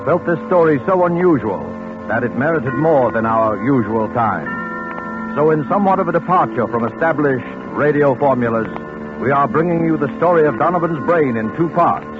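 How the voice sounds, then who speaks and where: An actor playing a mysterious, solemn host who formally introduces thrillers on 1940s radio, in an old broadcast recording. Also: male voice, on the high side, animated, moderate pace, over music, natural voice. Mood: solemn, suspenseful. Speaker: host of a suspense anthology. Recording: tinny, band-limited 1940s radio recording.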